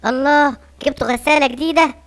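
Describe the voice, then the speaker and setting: An eager little Egyptian lion cub. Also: female voice, sits high, steady tone, fast talker. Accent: Egyptian Arabic. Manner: eager, wide-eyed child.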